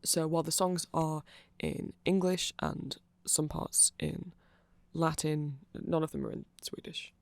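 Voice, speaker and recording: A man voicing a nerdy, friendly vampire in a casual ASMR roleplay, soft-spoken and rambling haltingly close to the microphone. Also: fairly high pitch, little pitch movement, creaky, dry recording. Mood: rambling, unsure. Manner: halting, self-deprecating rambling with small laughs. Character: nerdy, hesitant, friendly male vampire music fan. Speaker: ASMR audio roleplay performer.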